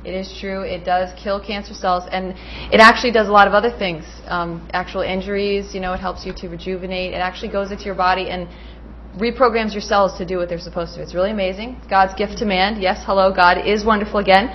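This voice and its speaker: A woman's voice: medium pitch, steady tone, quick pace, a little hiss or hum. A woman hosting a local TV show, speaking enthusiastically and a little preachily about her topics. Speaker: TV host. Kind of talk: casual hosting. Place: small studio with green screen. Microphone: desk mic on stand. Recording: muffled studio audio.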